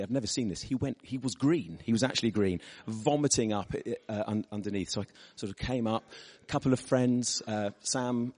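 Husky-voiced man preaching in a hall. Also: mid-range voice, darkish tone. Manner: chatty, storytelling, asks the audience. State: hoarse from losing his voice. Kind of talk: sermon with personal stories. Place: church hall. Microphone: microphone. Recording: reverberant church hall.

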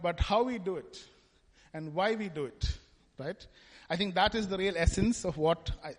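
A man from Kerala, India, giving a conversational testimony talk at church and often adding "right?" to his points. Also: high voice. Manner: conversational, tags lines with "right?". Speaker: church speaker. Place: church hall. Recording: long hall reverb.

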